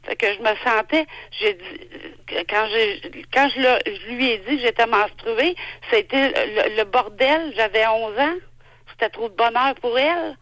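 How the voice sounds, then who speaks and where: Québécoise lady in her 40s calling in, higher voice, stumbles and repeats herself, dry close sound. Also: a bit bright, dry recording, low-quality recording. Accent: Québécois French. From Quebec. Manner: halting, repeats herself, restarts often. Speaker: caller. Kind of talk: phone-in call.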